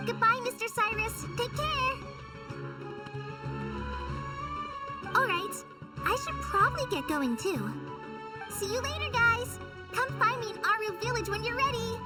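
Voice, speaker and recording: High-voiced, bashful teenage ranger girl. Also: lively intonation, moderate pace, loud music behind the voice. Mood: cheerful. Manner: sweet, bashful, slightly flustered politeness. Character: modest, earnest, eager teenage girl forest ranger. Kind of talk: anime-style game voice acting.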